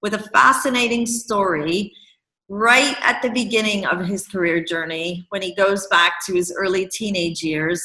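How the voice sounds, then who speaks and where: A woman's voice: on the low side, pushed and bright. A woman hosting a talk series, introducing the next speaker with a scripted, enthusiastic read. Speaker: host. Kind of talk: introducing a guest. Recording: echoey room.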